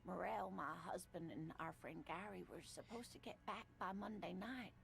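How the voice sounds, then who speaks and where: An actress voicing a kindly, chatty elderly woman with a raspy voice who is worried about her missing husband, in a video game. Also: lowish voice, moderate pace. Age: older. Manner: gentle, polite, chatty.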